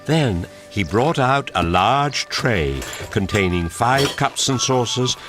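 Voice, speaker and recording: A man's voice: mid-range voice, expressive, slow, over music. A man narrating a children's story from a script as the narrator.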